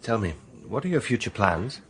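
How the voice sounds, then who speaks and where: An actor playing a suave, self-assured English defence barrister, articulate and probing, in a radio drama. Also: male voice, on the low side, big pitch swings, moderate pace, a bit bright, slight background noise. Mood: coolly courteous.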